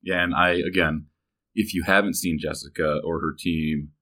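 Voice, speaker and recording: A man with a low voice and an American accent hosts a podcast interview. He talks in a conversational way and is generous with compliments to his guest. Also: fairly even intonation, moderate pace, sharp tone. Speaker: podcast host and chamber executive director. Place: studio in an office.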